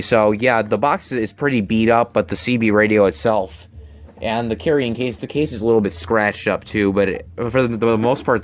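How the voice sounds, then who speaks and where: A young man giving a casual show-and-tell, talking haltingly and often repeating his words. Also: fairly low pitch. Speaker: hobbyist presenter. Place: bedroom, indoors. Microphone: handheld camera, close behind it.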